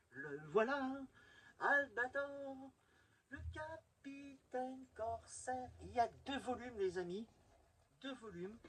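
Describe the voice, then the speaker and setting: A man's voice: sits high, slightly rough, low-quality recording. A middle-aged man chatting enthusiastically and informally to viewers as he presents a hobby vlog. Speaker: video presenter showing a collection.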